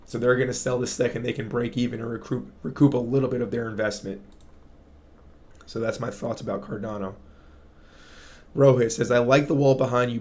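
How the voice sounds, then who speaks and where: A man with a raspy voice hosting a casual crypto livestream, explaining charts to viewers and often checking in with "right?". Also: mid-range voice, a little mellow. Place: home studio. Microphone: studio mic.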